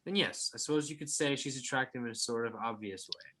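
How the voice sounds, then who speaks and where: A man acts out a husband in a screenplay read. He is placating and wry, smooth-talking with a sly flirtatious streak, in a medium-pitched voice. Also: fast, pushed and bright. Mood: wary, placating.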